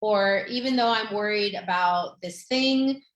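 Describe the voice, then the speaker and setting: A woman's voice: medium pitch, unhurried. Romanian-born woman coaching in an echoey room. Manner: gentle, many fillers like "um" and "like". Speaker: podcast host, therapist. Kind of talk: guiding an anxiety tapping exercise.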